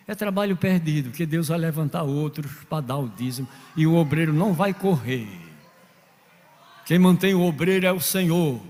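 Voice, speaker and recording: A man preaching a sermon from Northeast Brazil, telling stories, acting out quoted voices and building to shouts. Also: on the high side. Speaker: pastor. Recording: long reverb of a large hall.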